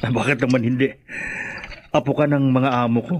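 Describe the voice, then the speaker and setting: A man playing a deferential, excitable hacienda stable hand in a radio drama, with a Filipino accent. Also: mid-range voice, fairly quick, close to an everyday voice. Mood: obliging.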